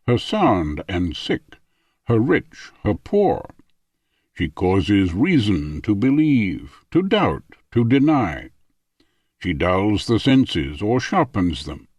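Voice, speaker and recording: A man narrating a philosophical audiobook in a formal, measured manner. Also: lowish voice, a little mellow, no room sound. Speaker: audiobook reader.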